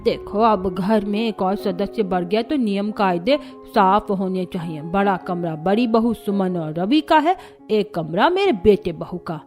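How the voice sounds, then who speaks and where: A woman acts out a traditional village mother-in-law from a cartoon family tale. She is bossy and scolding, laying down rules, with soft music underneath. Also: mid-range voice, animated, measured pace, few pauses, natural voice. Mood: stern, scolding. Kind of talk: Hindi cartoon story dialogue.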